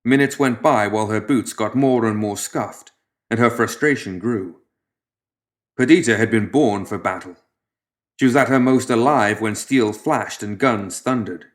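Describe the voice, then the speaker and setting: Man, audiobook narrator, fairly low pitch, measured dramatic delivery, scripted story reading, hushed phrase endings.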